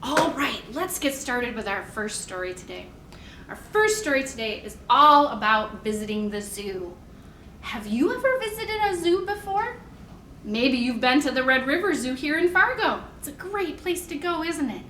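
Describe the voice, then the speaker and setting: A woman leading a toddler storytime in simple short phrases, with an animated, sing-song, encouraging manner. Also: sits high, moderate pace, a little hiss or hum. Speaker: children's librarian leading storytime. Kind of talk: toddler storytime, singing-along instruction and read-aloud. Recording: echoey room.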